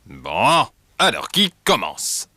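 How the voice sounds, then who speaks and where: Kindly cartoon elephant dentist, wildly animated pitch, slow delivery, reassuring, jolly. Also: male voice, no echo, studio quality, full character voice. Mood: friendly. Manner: reassuring, exaggerated intonation. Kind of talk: preschool cartoon dubbing.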